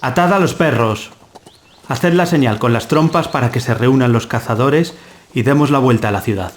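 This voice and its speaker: A man's voice: mid-range voice, moderate pace, a little hiss or hum, natural voice. Man acting solemn young nobleman. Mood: commanding. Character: young Castilian nobleman and hunter, solemn, courtly storyteller. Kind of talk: dramatised gothic audio story.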